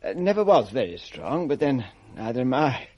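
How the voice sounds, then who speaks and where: Fussy old-fashioned english vicar for radio play, mellow and a bit husky, rambles and repeats himself. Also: male voice, mid-range voice, very animated, normal speed. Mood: doleful, rambling. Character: doddery, eccentric male country vicar, fussy and apologetic. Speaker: parish vicar.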